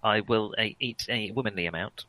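A man's voice: lowish voice, fairly quick, sharp tone, no room sound, rough recording. A man playing a tabletop game, jokey and playful. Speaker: player. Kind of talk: casual tabletop play.

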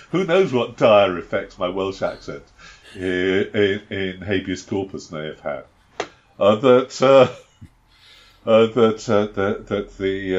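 Low-voiced hesitant man, dry humour. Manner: hesitant, many restarts and fillers, dry self-deprecating humour. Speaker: game master and podcast co-host. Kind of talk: casual chat and tabletop game setup. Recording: slightly dull lo-fi home recording.

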